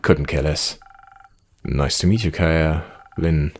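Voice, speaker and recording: A man voicing a calm fantasy innkeeper in a game, measured, dry-witted and reassuring. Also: a bass voice, full character voice. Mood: dry, courteous.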